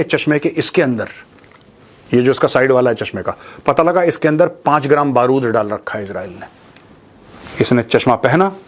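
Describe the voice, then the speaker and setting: An Indian man hosting informal news commentary, speaking in a blunt, colloquial, mocking way and switching into Indian-accented English. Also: medium pitch. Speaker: commentator and show host.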